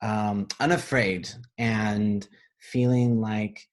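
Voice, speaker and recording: A man reading a children's picture book aloud as a teacher, pausing to think aloud and explain things simply. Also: on the low side, fairly even intonation. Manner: pauses to pose questions and think aloud.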